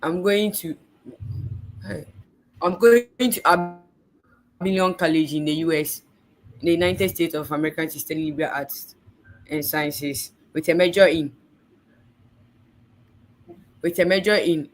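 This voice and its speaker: A woman from Ghana with a Ghanaian accent and a deep voice, answering mock visa interview questions politely and with some hesitation over a laptop call. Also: moderate pace, poor audio. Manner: polite, hesitant, says please often. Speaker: student visa applicant. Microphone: video call on a laptop.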